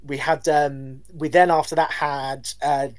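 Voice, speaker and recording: A man, a band's lead singer, answering interview questions in a measured, polite way with some hesitations. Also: a little high, flat delivery, soft background music. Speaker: band lead singer, guest.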